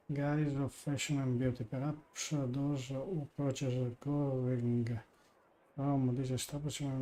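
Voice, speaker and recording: Guy streaming a game, reading out text with stumbles, mellow voice, pretty monotone. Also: mid-range voice, rough recording. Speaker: live streamer. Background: faint game music.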